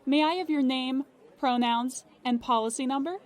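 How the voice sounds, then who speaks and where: A woman acting a polite, unflappable customer service agent in an audio drama, formal and measured. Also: high voice, natural voice. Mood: courteous.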